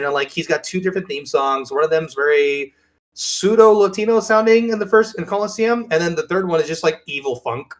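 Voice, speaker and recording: A man chatting casually as a podcast co-host, telling things in an enthusiastic, rambling way. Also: a little high. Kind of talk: casual chat.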